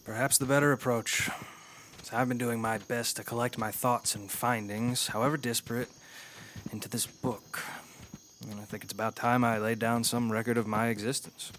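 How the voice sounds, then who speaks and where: Guy acting as calm old-world philosopher gent, audio drama, formal n eloquent, faint noise behind. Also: medium pitch, lightly acted. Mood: reflective. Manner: formal, wordy, measured. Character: eloquent, philosophical ageless gentleman, calm and earnest.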